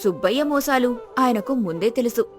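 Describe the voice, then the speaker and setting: A woman's voice: on the high side, animated, normal speed, soft background music. A woman narrating a scripted moral story as a storyteller.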